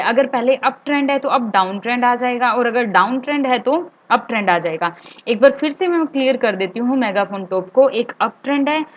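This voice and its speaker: A woman with an Indian accent teaches in a somewhat high-pitched voice. She explains things step by step, often going back over key points and slipping English terms into her speech. The recording is a little dull and lo-fi. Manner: repeats key points for clarity. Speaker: trading instructor.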